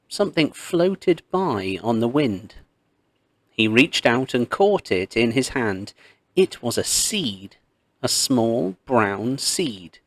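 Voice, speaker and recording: A man narrates a storybook myth in a medium-pitched voice. He takes his time, shaping phrases expressively and leaving pauses. The sound is very dry, with no room around it. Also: no room sound. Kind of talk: scripted read-aloud storytelling of a myth.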